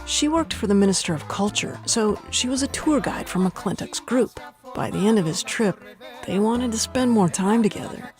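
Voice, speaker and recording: A woman reporter reads a radio news feature in a measured, even voice. Her pitch sits in the middle, and band music plays clearly behind her. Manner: measured, even storytelling delivery. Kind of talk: scripted radio news narration. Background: band music under the voice.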